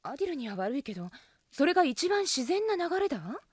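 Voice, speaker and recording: A woman's voice: high-pitched, sing-song, a little fast, no room sound, clean studio sound. An actress playing an outspoken, self-assured young female elf with a blunt, feminine way of talking, in an anime audio drama. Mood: pointed, teasing.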